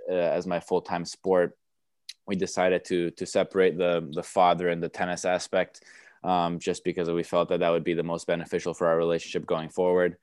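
A young man from the United States whose first language is Romanian, answering interview questions in a relaxed way, with many fillers and restarts. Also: sits low, clean tone, no echo, studio quality. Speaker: tennis player guest.

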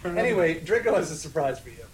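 A man's voice: a little high, very animated, quick pace, very noisy. A man co-hosting a podcast, giving a mock-serious, theatrical comic reading of fan fiction. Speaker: podcast co-host.

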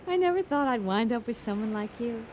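An actress playing a blunt, playfully teasing young bride in a radio comedy. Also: female voice, fairly high pitch, expressive, moderate pace, darkish tone, dull audio, a little hiss or hum, lightly acted. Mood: dreamy, teasing. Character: teasing young woman, honeymooning bride-to-be in love. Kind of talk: radio comedy drama acting.

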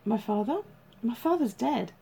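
A woman voicing a troubled, guarded male police detective in an audiobook, terse and halting. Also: a little high, lively intonation, creaky, mellow, breathy edge, full character voice. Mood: stunned, disbelieving.

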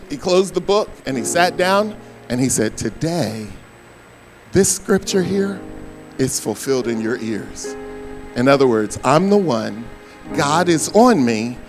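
Hoarse older man preaching animatedly. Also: sits high. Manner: animated preaching with sudden loud and soft swings. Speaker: pastor. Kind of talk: sermon.

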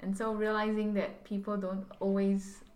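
A woman's voice: medium pitch, completely flat delivery, normal speed, darkish tone, roomy sound. A woman with a Singaporean English accent, a pastor and seminary student, speaking as a podcast guest and explaining things carefully with examples. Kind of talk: reflective conversational podcast guest.

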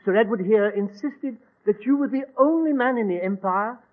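An actor in a 1940s radio drama playing a courteous, cautious Egyptian foreign minister, formal and measured. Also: male voice, high voice, natural voice. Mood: grave, courteous. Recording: tinny 1940s radio recording.

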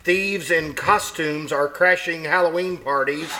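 A man's voice: fairly high pitch, measured pace, close to an everyday voice. A man playing a police radio dispatcher reading bulletins in a formal announcer manner for a 1940s-style radio play. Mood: official, matter-of-fact. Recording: noisy live radio-play recording.

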